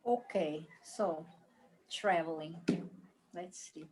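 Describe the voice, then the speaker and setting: A woman improvising a tarot reading on a video call, halting and repeating phrases as she goes. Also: low-pitched, background noise. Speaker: tarot reader.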